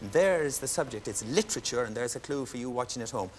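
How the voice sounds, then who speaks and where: A man hosting a television quiz show, announcing clues and scores in a crisp, formal way and lifting into cheers for winners. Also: mid-range voice, lively intonation, fast. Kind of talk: brisk game-show hosting. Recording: studio audience and background noise.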